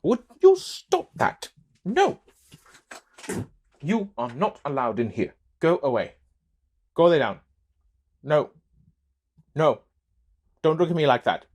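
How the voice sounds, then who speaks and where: Slow-paced, playful man with very animated pitch. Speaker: voice actor. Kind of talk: joking studio outtake chatter.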